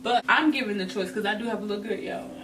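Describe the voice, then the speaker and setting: A woman's voice: mid-range voice, fast. A woman vlogger giving casual, chatty advice to camera in a sassy, emphatic way with informal slang. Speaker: host of a fashion advice vlog. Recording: distant mic with noticeable background noise.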